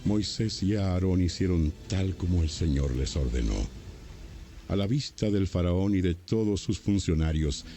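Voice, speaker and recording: Very deep, gravelly male narrator. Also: warm tone, slight background noise. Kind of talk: scripted dramatized Bible narration. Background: music.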